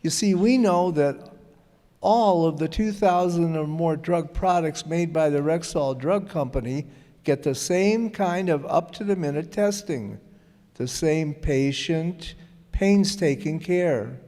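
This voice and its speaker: A man's voice: fairly high pitch, slow, mellow. A man playing a reassuring family druggist in a scripted old-time radio advertisement, explanatory, folksy and courteous. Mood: earnest, explanatory. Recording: reverberant hall stage recording.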